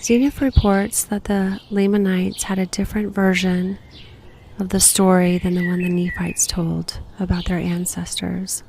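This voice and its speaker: A woman guiding a meditation in a gentle, unhurried, breathy voice that sometimes nears a whisper, giving slow reflective prompts. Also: lowish voice, little pitch movement, slight background noise. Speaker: guided meditation host.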